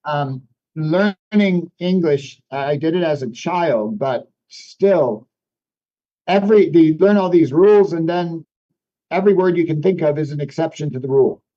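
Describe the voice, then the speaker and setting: A man's voice: fairly high pitch, unhurried. A man whose first language is French, hosting a reflective conversation and explaining ideas thoughtfully, with restarts as he searches for words. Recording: dull lo-fi sound.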